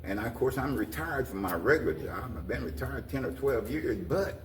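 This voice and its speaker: An older American man giving an informal interview, talking in a halting, folksy way with repeated phrases. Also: medium pitch, lively intonation. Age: 60s or older. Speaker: interview guest, community leader. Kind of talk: informal talk-show interview. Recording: roomy echo, distant mic, faint noise.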